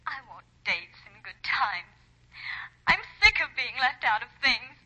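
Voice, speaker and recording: Girl's secret thoughts, high, harsh filtered tone, 1940s commercial. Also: big pitch swings, a little slow, pushed and bright, exaggerated voice, poor audio. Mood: frustrated. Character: young women's private thoughts in soap ad. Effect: thin filtered inner-thought voice. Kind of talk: 1940s radio commercial acting. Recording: raspy filtered old radio sound.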